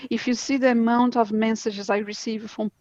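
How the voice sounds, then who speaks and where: A woman's voice: on the high side, little pitch movement, moderate pace, darkish tone, no room sound, dull audio. A woman from Brazil with a Brazilian Portuguese accent, speaking English as a guest in an interview, earnest and enthusiastic, often restarting her sentences. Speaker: guest, crypto educator. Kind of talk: interview conversation.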